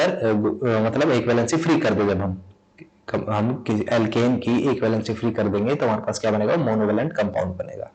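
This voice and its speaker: Hindi-speaking chemistry teacher with a sore throat. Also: male voice, medium pitch, darkish tone, rough recording. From India. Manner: repetitive, asks and answers own questions. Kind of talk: explaining a lesson.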